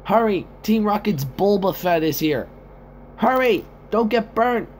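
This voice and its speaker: Man acting an excitable high-pitched battle trooper. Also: a little slow. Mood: urgent. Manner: urgent, exclamatory, repeats short battle calls. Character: excitable male fantasy soldier shouting battle voice lines. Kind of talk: amateur game-style voice lines. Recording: noisy home recording.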